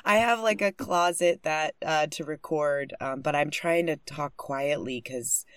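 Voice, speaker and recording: A woman's voice: low voice, measured pace, clear, resonant tone, dry recording. A young woman hosting a podcast, talking candidly and swearing freely, with frequent laughs, recorded in a closet at home. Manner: candid, profane, bubbly, laughs often. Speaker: podcast host. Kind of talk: casual podcast intro and interview. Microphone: studio mic close up.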